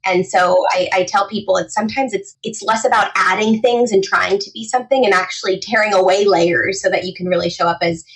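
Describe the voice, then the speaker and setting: A woman's voice: medium pitch, steady tone, brisk, hardly any pauses, low-quality recording. Female podcast guest, twenties, mid-range voice, conversational and explanatory, rephrases as she goes. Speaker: podcast guest, etiquette trainer and author. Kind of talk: casual podcast interview.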